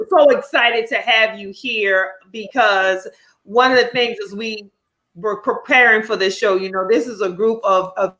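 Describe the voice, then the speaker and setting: A woman's voice: fairly high pitch, low-quality recording. Enthusiastic woman show host. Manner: enthusiastic, animated. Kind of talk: live panel hosting.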